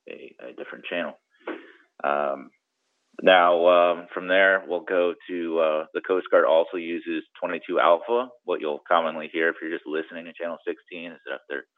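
A man explaining things informally as an interview guest, in a conversational way, checking in with the listener now and then. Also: extremely low pitch. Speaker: guest, commercial mariner and boating trip planner. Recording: muffled narrow-band audio.

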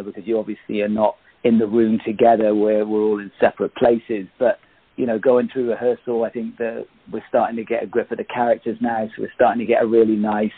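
Guy actor chatting in an interview, husky, kinda low, phone-like sound. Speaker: radio play actor, interview guest. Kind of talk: casual interview chat. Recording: tinny phone-like connection.

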